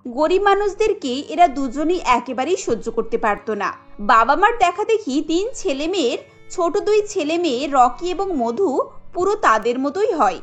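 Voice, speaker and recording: A woman narrator reading a scripted cartoon family story. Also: high-pitched. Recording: light music under the voice.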